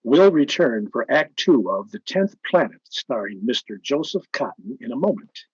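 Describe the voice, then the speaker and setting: Male vintage radio pitchman: husky, swooping intonation, persuasive and emphatic, muffled old broadcast sound. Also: very animated, normal speed, no echo. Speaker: announcer. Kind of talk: old-time radio announcing and advertising. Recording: dull, band-limited old-time radio sound.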